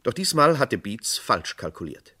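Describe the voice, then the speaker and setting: A man's voice: mid-range voice, very animated, a little slow, pushed and bright, breathy edge. A man narrating a radio crime play in a sober, matter-of-fact tone.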